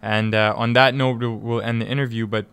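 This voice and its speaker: Smooth, effusive male radio interviewer. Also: mid-range voice, little pitch movement, a little fast, fairly bright tone, no room sound. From East Africa (Tanzania and Kenya). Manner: effusive, repeats words and phrases for emphasis. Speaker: radio show host and interviewer. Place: radio studio.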